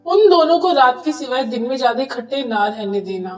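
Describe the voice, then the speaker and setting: Woman as a high-voiced scheming neighbour. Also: animated, a little fast, roomy sound, distant mic, soft background music. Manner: conspiratorial, instructing. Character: scheming, advice-giving neighbourhood women.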